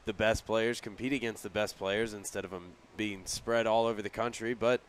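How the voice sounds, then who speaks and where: A man giving conversational color commentary on a live baseball broadcast, with a smooth, clear voice. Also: on the low side, steady tone, moderate pace.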